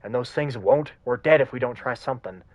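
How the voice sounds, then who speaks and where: A man's voice: medium pitch, a little fast, no room sound, natural voice. A man reading out the lines of a determined young man who fights spirits, grim and urgent.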